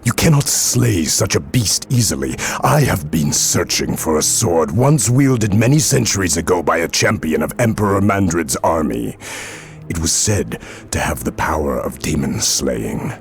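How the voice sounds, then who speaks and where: A man's voice: low voice, expressive, a little slow. A man playing a stern, devout warrior priest in a fantasy game, speaking in a solemn, weighty, dramatic way. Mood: grave counsel. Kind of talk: fantasy video game voice acting.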